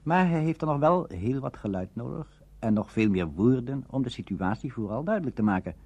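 A man presenting a documentary on radio drama as scripted narration, formal and expository, with a husky voice. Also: mid-range voice, expressive, fairly quick, mellow.

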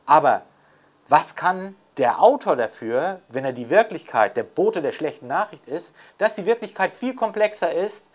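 A man's voice: on the high side, sing-song, normal speed. An older man talking off the cuff as an interviewed author and scholar, reflective and emphatic, often adding rhetorical tags. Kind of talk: unscripted intellectual interview talk.

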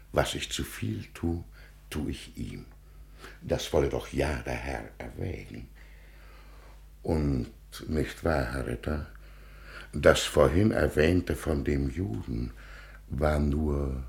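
Creaky, deep old zealot patriarch. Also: male voice, exaggerated voice. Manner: pompous, preaching, emphatic. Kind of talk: 1950s German radio play acting. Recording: echoey 1950s radio studio recording.

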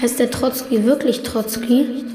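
Radio drama: actress as small earnest son, inquisitive, slightly high voice, roomy echo with music behind. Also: female voice, a little slow, very noisy, natural voice. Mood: anxious, questioning. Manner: earnest, reciting and questioning. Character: earnest, questioning young son of a revolutionary. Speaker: actor in radio play. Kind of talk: German radio drama acting. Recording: reverberant hall, music behind.

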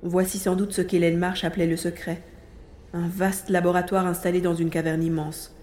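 An actor playing Karl, an anxious, determined adventurer who tells the action as it unfolds in an audio drama. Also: male voice, high-pitched, fairly quick, a little hiss or hum, lightly acted. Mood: wary, puzzled. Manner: dramatic, expressive narrating of the action. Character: Karl, anxious adventurer man tracking captive friend, grumbling, determined. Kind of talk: dramatized audio drama with first-person narration. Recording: echoey room with faint music.

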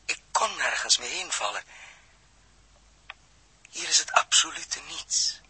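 A stranded astronaut in a 1960s radio play, with a very husky, breathy voice and a hard, tense edge. He speaks slowly and haltingly, grave and brooding. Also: a little high, animated, sharp tone, full character voice. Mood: awed, haunted. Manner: halting, intense, pausing between phrases. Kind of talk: 1960s radio drama acting.

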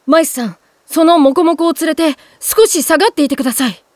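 Woman voicing a gentle high-voiced boy swordsman. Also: a little fast, soft background music, natural voice. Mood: resolute. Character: gentle, modest, courteous young swordsman. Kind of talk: Japanese game drama CD acting.

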